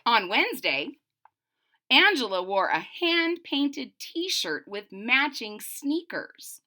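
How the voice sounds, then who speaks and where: A woman reads a children's story aloud in a high, bright voice. She takes her time and moves her pitch around expressively, pausing to explain things to young listeners. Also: very animated. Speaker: storybook reader.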